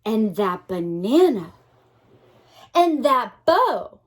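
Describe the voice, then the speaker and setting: A woman teacher reads a picture book aloud to children in an expressive, dramatic way, with pauses and asides to her young listeners. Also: a little high.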